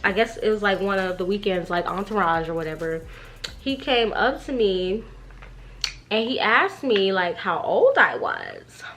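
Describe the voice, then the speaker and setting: A woman's voice: medium pitch, animated, unhurried, a bit bright. A young woman telling a story in a casual, slangy, gossipy way, acting out bits of dialogue as she goes. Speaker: vlogger. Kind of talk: animated casual storytime chat.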